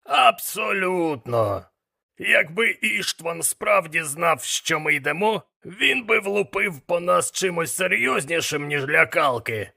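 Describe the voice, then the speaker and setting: A man playing a gruff, worldly-wise demon mentor with a rasping voice and a sardonic, lecturing manner. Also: fairly high pitch, animated, takes it slowly, pushed and bright, exaggerated voice. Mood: dismissive, scoffing. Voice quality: gravelly, rasping.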